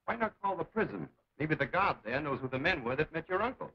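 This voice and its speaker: A man's voice: fairly high pitch, sing-song, fast, slightly rough. A man playing a glib young playboy hero in a 1940s film serial. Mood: breezy, casual. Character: breezy young playboy, secretly a crime-fighting hero. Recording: noisy, dull 1940s serial soundtrack.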